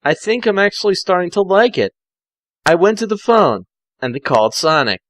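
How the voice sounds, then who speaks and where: Guy reading fanfic out loud, highish voice, super animated, dry close sound, trips over words. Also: normal speed, no room sound. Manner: theatrical, often stumbling and self-correcting. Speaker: amateur fan fiction reader. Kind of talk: informal dramatic story reading.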